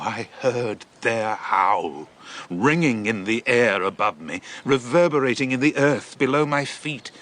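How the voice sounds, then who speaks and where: An actor playing a shaken eyewitness messenger from ancient Greece in a radio drama, telling of horror in an intense, deliberate, theatrical monologue. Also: male voice, mid-range voice, sharp tone, a little hiss or hum.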